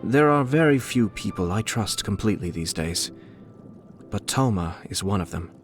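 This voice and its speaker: A man voicing a refined, suave young nobleman and clan head in a video game, coolly shrewd, with polished, measured, formal diction. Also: on the low side, expressive, close to an everyday voice. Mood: composed, earnest. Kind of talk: scripted video game character dialogue. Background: background music.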